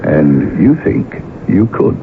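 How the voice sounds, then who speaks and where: Slow, deep-voiced wry friend. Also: male voice, big pitch swings, vocal fry, phone-quality sound, exaggerated voice. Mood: cautioning. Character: wry, tolerant gentleman friend, calmly perceptive and cautioning. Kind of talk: 1980s radio drama acting. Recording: noisy band-limited old radio broadcast recording.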